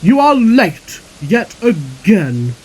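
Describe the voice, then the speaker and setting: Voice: medium pitch, fairly even intonation, slow pace, a little mellow, natural voice, rough recording. A narrator voicing a stern male railway controller in a children's story, emphatic and commanding.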